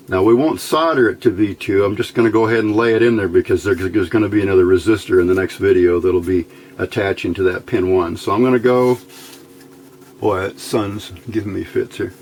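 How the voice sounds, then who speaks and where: A man with a low voice and a creaky edge talks through a hands-on job step by step. He is casual and folksy, half muttering to himself as he works, with clear noise behind him. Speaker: electronics hobbyist instructor. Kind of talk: casual step-by-step demonstration while working.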